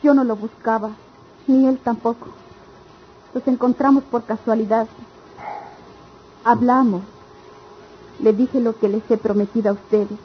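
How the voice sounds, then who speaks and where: A woman's voice: a little high, fairly even intonation, unhurried, pauses often, a little hiss or hum, natural voice. A woman acting a resolute, devoted young betrothed country girl, solemn and firm, in a radio melodrama. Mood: firm, defensive. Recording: dull lo-fi radio drama sound.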